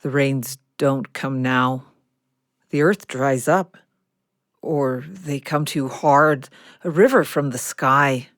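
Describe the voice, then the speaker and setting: A woman acts as an old snake in an audio drama, calm and watchful. The voice is low and unhurried, with sparse, deliberate phrases. The sound is close and dry. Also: dry recording. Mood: calm, knowing.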